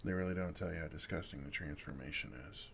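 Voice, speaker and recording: A man playing a kind, wry older vampire in an intimate ASMR roleplay, talking in a gentle, casual, dryly sarcastic way. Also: low voice, quick pace, creaky, slight background noise. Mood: wry, self-deprecating.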